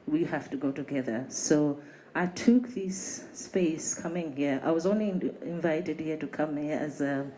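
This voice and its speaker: A woman's voice: low-pitched, unhurried, mellow, slight background noise, rough recording. A woman who takes designers to fashion events speaks earnestly at a live panel, sometimes repeating herself. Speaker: fashion program founder.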